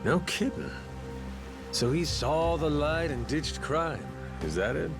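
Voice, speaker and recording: A man's voice: a little high, big pitch swings, slow, music in the background, exaggerated voice. A man voicing a wry, informal male boat captain and adventurer in a video game dub.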